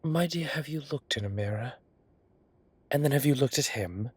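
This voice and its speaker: A man's voice: medium pitch, expressive, normal speed, slightly rough, dry recording. A man playing a flamboyant, mischievous male boss in a roleplay audio drama, with an arch, playful, theatrical way of talking. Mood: incredulous, flirty.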